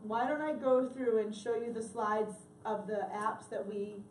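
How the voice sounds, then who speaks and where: A woman's voice: a little high, steady tone, measured pace, a little mellow, a little hiss or hum. A woman leading a workshop in a casual, chatty way, often correcting herself and apologizing, her voice worn and slipping into coughs. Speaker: workshop presenter. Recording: echoey room from far off.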